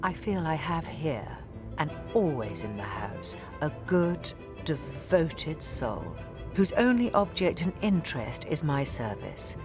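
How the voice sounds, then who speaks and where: An actress reading the letters of a grieving, earnest middle-aged widowed queen in an intimate, measured, emotive way. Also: low-pitched, sing-song, full character voice. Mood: tender, yearning. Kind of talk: dramatic reading of journals and letters. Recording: music behind the voice.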